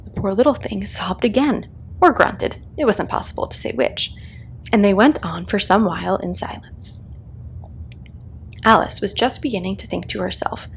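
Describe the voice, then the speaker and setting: A woman reads a children's story aloud in a calming, expressive manner. Her pitch moves a great deal, and her voice has a noticeable creak. Faint noise runs behind the narration. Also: rough recording. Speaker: read-aloud host, storyteller.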